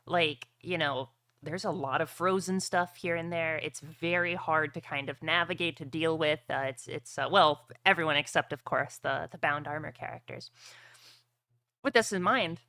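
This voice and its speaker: A man's voice: fairly high pitch, unhurried, pushed and bright. A man narrating a tabletop roleplaying game as game master, talking casually and crudely.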